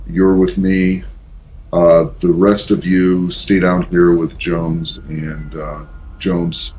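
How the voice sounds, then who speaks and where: A man role-playing casually as a player in a tabletop game, halting and thinking aloud as he talks. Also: sits low, darkish tone, slight background noise, low-quality recording. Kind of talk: casual in-character role-play.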